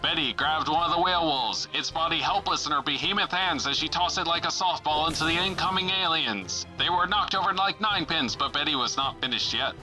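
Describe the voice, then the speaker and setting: A man's voice: a little high, brisk, hardly any pauses, over music, lightly acted, low-quality recording. A man playing a dramatic, suspenseful announcer narrating an old-time pulp adventure radio serial. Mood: rousing. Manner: theatrical, suspenseful.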